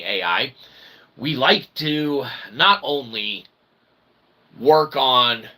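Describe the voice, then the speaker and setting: A man hosting his channel in a rambling promotional monologue, wordy and pseudo-technical, animated, often tagging his sentences with a check for agreement. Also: medium pitch. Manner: wordy pseudo-technical talk with repeated tag questions. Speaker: channel host.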